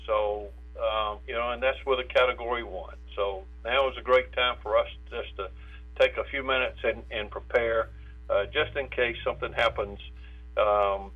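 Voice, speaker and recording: A man with an American accent giving preparedness advice in a phone interview, haltingly, repeating words and stumbling mid-phrase. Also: medium pitch, fairly even intonation. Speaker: state insurance director, interviewed guest. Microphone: telephone. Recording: tinny narrow phone line with hum.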